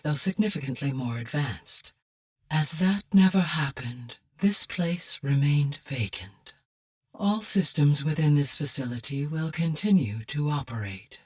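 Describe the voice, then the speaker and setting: An actress playing a calm, wise, courteous female artificial intelligence guide in a video game, speaking formally with measured precision in a low, husky voice. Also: far from the mic, heavily acted. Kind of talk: scripted video game voice lines.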